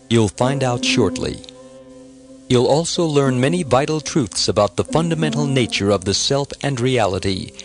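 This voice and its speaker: A man narrates an audiobook from a script, with music clearly playing behind him. His voice sits at a medium pitch. The delivery is measured and formal, with a reverent manner.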